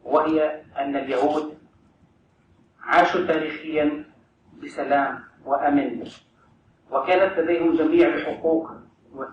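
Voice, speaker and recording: A man's voice: on the high side, steady tone, very fast, long pauses, highs cut off. A man from the Palestinian territories with an Arabic accent, giving a formal witness statement to a tribunal. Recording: echoey courtroom, from a distance, with background hum.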